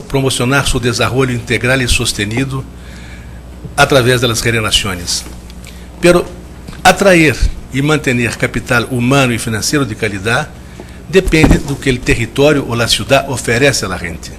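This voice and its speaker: Man, Brazilian Portuguese accent, guest lecturer, measured and reflective, mid-pitched voice. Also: fairly bright tone, a little hiss or hum. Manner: measured, reflective, with frequent restarts and searching for words. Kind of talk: conference talk. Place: lecture hall.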